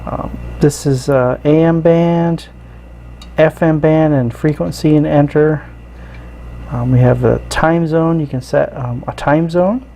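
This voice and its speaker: A man hosting a casual, unscripted hobby review, explaining features in a halting, easygoing way. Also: fairly high pitch, fairly even intonation, creaky, mellow, slight background noise. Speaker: radio hobbyist host reviewing gear. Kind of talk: casual unscripted product walkthrough.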